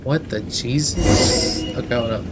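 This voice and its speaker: A man's voice: mid-range voice, unhurried. A man giving casual live commentary as he streams a game. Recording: very noisy, clicks.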